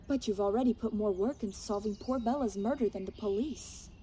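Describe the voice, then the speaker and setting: A woman acts an anxious wife and mother in an audio drama. Her tone is dark and mellow, her manner pleading yet restrained, with slight outdoor noise behind her. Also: mid-range voice, a little fast, lightly acted. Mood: subdued.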